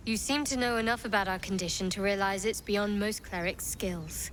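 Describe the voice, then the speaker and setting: A woman's voice: medium pitch, normal speed, fairly bright tone, a little hiss or hum, close to an everyday voice. A woman playing a guarded, sceptical, distrustful adventurer in a fantasy video game. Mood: suspicious. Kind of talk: video game dialogue acting.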